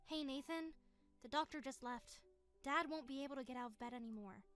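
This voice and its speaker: A woman's voice: high-pitched, fairly quick, a bit bright, clear, resonant tone, soft background music. A woman playing an indignant young sister. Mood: urgent. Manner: accusing, indignant. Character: young woman, angry sister scolding brother.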